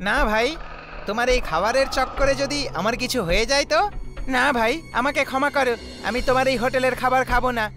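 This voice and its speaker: A man playing a wary villager with an anxious, protesting manner in an animated horror story. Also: very high voice, fast, music in the background. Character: wary male villager refusing food.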